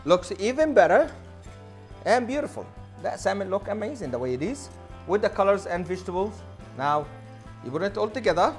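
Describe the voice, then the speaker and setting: A man's voice: sits high, sing-song, brisk, long pauses, music in the background. A man in his thirties, a chef giving an enthusiastic cooking demonstration on a studio kitchen set, on a lapel mic. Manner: enthusiastic, non-native phrasing.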